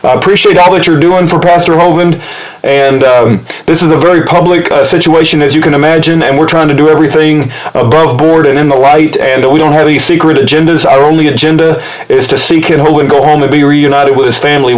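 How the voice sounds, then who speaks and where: A man with a fairly high voice makes an informal spoken appeal as a supporter of a cause. He is polite and earnest, and he often halts to search for the right word. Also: steady tone, fast talker, crowd noise, low-quality recording. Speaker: activist supporter leaving a voicemail and recording it for upload. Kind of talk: informal spoken appeal, phone message and update.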